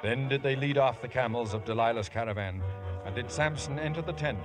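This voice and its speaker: An actor as the solemn narrator of a biblical tale in a 1950s radio drama, in archaic storytelling style. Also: male voice, mid-range voice, a little fast, natural voice. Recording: old radio broadcast over orchestral music.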